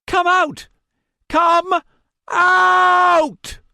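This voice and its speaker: A man's voice: wildly swooping pitch, slow pace, fairly bright tone, no room sound, clean studio sound, exaggerated voice. A man voicing a crazed man who rants in short broken phrases and clutches a cursed charm. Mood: frantic. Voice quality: shrill, ranting in broken phrases.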